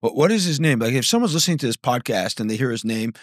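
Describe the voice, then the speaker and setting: A middle-aged man hosting a podcast interview in a small studio, asking eager, curious questions into a boom mic. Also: expressive, fast, no echo. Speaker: podcast host. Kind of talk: conversational interview. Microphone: studio mic on boom arm.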